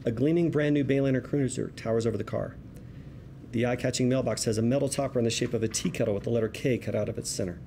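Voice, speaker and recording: Mellow man narrating screenplay action. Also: medium pitch, fast, gravelly, slight background noise. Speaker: stage-direction reader.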